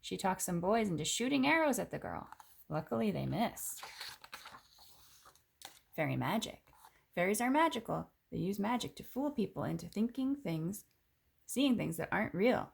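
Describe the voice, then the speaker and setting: A woman reading a children's picture book aloud in an expressive, clearly phrased way, with casual asides. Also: medium pitch, normal speed, pauses often.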